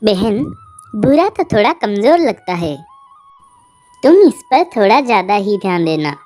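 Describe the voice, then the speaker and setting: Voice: mid-range voice, big pitch swings, a little slow, darkish tone, soft background music. A performer voicing a friendly female deer in a cartoon animal story, a solicitous, concerned neighbour.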